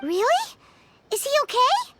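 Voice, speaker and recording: A woman voicing a tiny, excitable, childlike fairy companion in a cartoonish video game style, squeaky and exclamatory. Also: measured pace, heavy background noise, full character voice. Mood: curious, concerned. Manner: exclamatory, blunt questioning.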